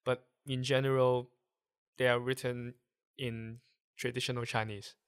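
A man from Hong Kong whose first language is Cantonese, speaking English with a Hong Kong accent as a guest, explaining things haltingly while searching for words. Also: medium pitch, little pitch movement, smooth. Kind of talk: casual conversation.

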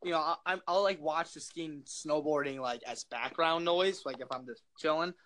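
A young man with a loud, projecting voice, rambling jokily through a casual chat as co-host. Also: fairly high pitch, moderate pace, a crowd in the background. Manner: rambling, filler-heavy, jokey.

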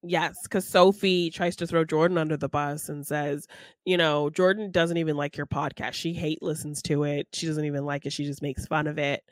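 A woman's voice: low-pitched, steady tone, moderate pace, no room sound. Woman chatting casually in a dry, close sound. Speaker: podcast co-host. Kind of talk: casual film discussion.

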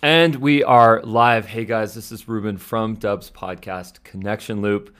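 A man's voice: fairly low pitch, steady tone, a little slow, a bit bright, smooth. A man hosting a podcast interview, introducing his guest with upbeat energy. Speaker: podcast host.

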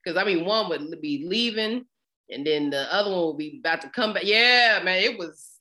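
A woman in her late thirties chatting candidly and laughing often, telling animated stories in a relaxed conversation. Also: on the low side, moderate pace. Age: 30s. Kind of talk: casual chat.

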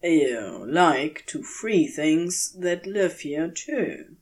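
A woman's voice: sits low, expressive, very slow, heavily acted. A woman voicing a curious, teasing male fairy in a story reading, with a questioning drawl. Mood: sly, teasing.

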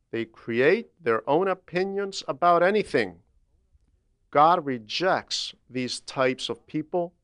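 A man with a slightly high, very clear voice reads a religious homily. He teaches in a deliberate, emphatic way, taking his time and pausing between phrases. The sound is dry, with no room around the voice. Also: a bit bright, no echo. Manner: deliberate, emphatic, didactic with measured pauses. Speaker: translator reading a homily aloud. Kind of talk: religious homily, read aloud.

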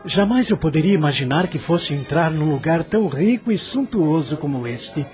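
A man's voice: fairly high pitch, expressive, moderate pace, a little mellow, soft background music, close to an everyday voice. A man playing an earnest, awestruck young suitor. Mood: awed. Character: awed young man, devoted lover.

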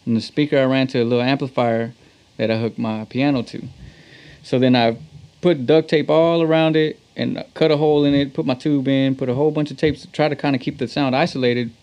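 A man's voice: mid-range voice, fairly even intonation, brisk, darkish tone, highs cut off. A man from Texas with an American accent, chatting casually as an interview guest and explaining things in an enthusiastic, slangy way. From Texas, United States. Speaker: guest, musician.

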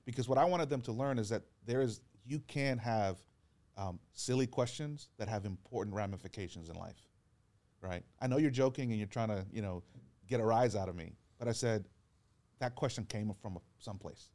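A man, a pastor, talking earnestly in a relaxed podcast chat, telling stories and acting out what people said. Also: on the low side, normal speed. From Georgia, US. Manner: earnest, encouraging storyteller who acts out quotes. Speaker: pastor and guest. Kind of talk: casual podcast conversation.

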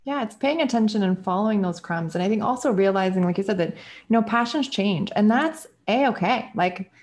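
A woman in her early forties chats in an easygoing, rambling way, full of fillers and little laughs. Her voice sits at an ordinary middle pitch. She talks like a guest on a podcast. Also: animated, fairly quick, a little mellow. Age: 40s. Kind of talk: casual conversation.

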